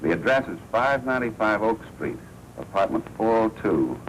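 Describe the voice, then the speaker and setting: Low-voiced, calculating racketeer boss. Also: male voice, very animated, normal speed, poor audio. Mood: persuasive, cordial. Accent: American. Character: smooth-talking, calculating male racketeer boss. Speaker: actor in a crime film. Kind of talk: 1940s film noir acting. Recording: hissy 1940s film soundtrack.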